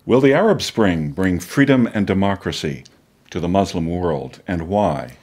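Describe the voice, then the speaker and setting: A man's voice: sits low, animated, a little slow, a little mellow. A man acting as interviewer, introducing his guest and asking brief, formal questions.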